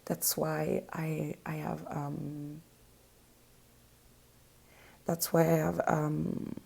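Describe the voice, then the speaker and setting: Soft, gravelly French-accented woman. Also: low voice, flat delivery, extremely slow. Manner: hesitant, searching for words with many fillers. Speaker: tarot reader. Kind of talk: spoken tarot reading.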